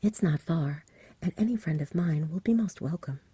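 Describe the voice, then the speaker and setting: A woman voicing an earnest, impatient young village boy in a soft, whispery ASMR storybook reading. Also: low voice, expressive, normal speed, husky, no room sound, heavily acted. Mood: hopeful. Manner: earnest, pleading.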